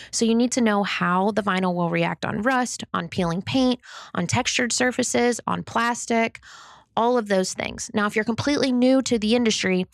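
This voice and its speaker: Woman, young adult, high-pitched, fry-tinged, upbeat self-deprecating podcast host, close dry mic. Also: dry recording. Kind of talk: solo podcast advice talk.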